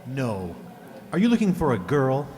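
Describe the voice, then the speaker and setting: An actor playing a polite, gossipy hotel employee in a radio play, talking in a measured, informative way. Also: male voice, medium pitch, wildly swooping pitch, warm tone. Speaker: amateur radio drama actor. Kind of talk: old-time radio play dialogue. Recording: echoey hall, long reverb.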